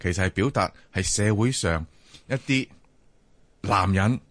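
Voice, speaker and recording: A man's voice: lowish voice, a little slow, fairly bright tone, no echo. A man with a Hong Kong Cantonese accent telling stories casually as a panelist in a discussion. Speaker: talk show panelist.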